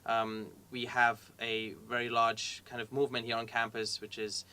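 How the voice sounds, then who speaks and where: Bright-voiced young man from Iran. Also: medium pitch, little pitch movement, normal speed, clear, resonant tone. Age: young adult. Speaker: MBA student panelist. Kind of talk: conversational Q&A answers.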